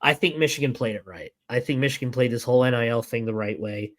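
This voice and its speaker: A hoarse man, sick for days and now losing his voice, talking through a casual sports podcast. He stops and restarts often, pushing on in uneven bursts. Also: mid-range voice. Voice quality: halting, many restarts and fillers. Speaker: podcast co-host.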